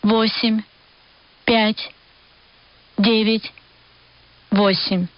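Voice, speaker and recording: A woman reading out numbers one at a time in a flat, measured way. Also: a little high, no room sound. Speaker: numbers station voice. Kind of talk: reading digit groups. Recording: dull lo-fi shortwave recording.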